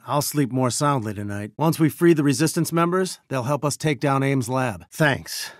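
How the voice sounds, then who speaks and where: An actor playing an earnest, wry scientist who leads resistance fighters, briefing in a conversational, clipped way in a video game. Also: male voice, mid-range voice, dry recording, clean studio sound, natural voice. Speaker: mission dispatcher giving orders and updates.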